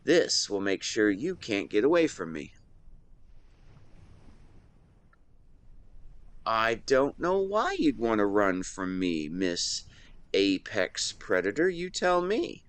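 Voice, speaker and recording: A man playing an affectionate, playful young best friend in a romantic audio roleplay, speaking to the listener in a teasing, tender, expressive way. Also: on the low side, measured pace, no echo.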